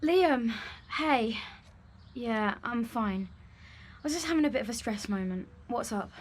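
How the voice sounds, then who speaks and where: Exasperated young female vlogger, high voice, sarcastic, audio drama, noisy outdoor-style ambience behind. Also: animated, a little slow. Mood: stressed. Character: Claire, exasperated, reluctant young female video blogger.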